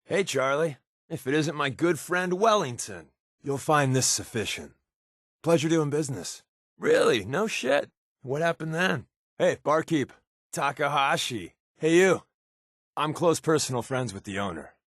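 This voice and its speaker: An actor playing a sardonic, wisecracking undercover resistance agent in a video game, with a casual, slangy, quipping delivery. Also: male voice, fairly high pitch, very animated, unhurried, fairly bright tone, no room sound, clean studio sound, heavily acted. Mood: breezy, joking. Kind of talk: video game character voice lines.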